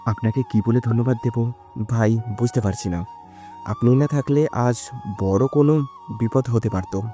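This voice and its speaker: Audio story role: earnest, loyal younger brother played by a man, soft dark tone, light music behind. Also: mid-range voice, normal speed, lightly acted. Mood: grateful.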